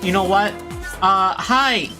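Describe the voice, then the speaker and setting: A woman streaming a video game at an unhurried pace, with casual, reactive remarks and laughing asides. Her voice sits in an ordinary range, and loud game music plays behind her. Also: mid-range voice.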